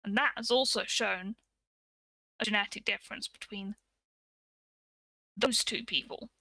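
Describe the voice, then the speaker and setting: A woman's voice: mid-range voice, a little slow, pushed and bright, no echo, low-quality recording. A woman, explaining things casually as a co-host in an informal talk.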